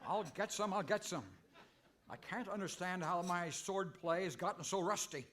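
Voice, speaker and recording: An actor playing a bumbling, earnest, mildly exasperated cowboy hero in a comic old-time radio western. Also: male voice, high voice, normal speed, a bit bright. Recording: faintly noisy live stage recording.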